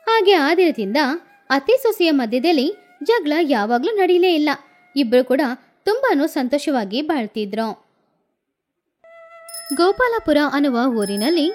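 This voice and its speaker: A woman giving scripted narration for a children's moral story in a steady storytelling manner, with faint music behind her. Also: high-pitched.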